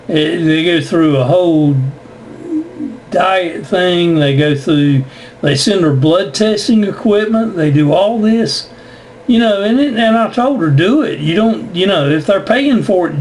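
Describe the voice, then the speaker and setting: A man with an American accent chats casually as a radio operator. His voice sits slightly high and moves at a slow pace, circling back over words. There is faint noise on the recording. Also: darkish tone, low-quality recording. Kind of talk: casual on-air radio chat.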